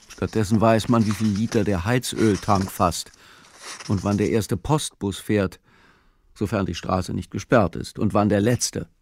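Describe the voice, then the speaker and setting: An actor playing a pensive, solitary man musing over facts in a literary radio play, with a gravelly voice and measured, deliberate delivery. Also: fairly low pitch, a little mellow, a little hiss or hum.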